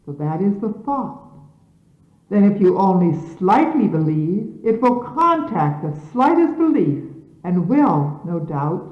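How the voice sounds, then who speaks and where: A woman reading scripture as a solemn sermon in measured, emphatic, formal diction, on an archival recording from the 1970s. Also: lowish voice. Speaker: religious leader reading scripture aloud. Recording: phone-like, echoey 1970s archival tape.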